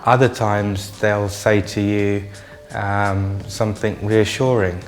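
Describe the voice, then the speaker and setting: A man recalling his experiences in an interview in a plain, halting way. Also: on the low side, little pitch movement, faint music behind. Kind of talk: interview testimony.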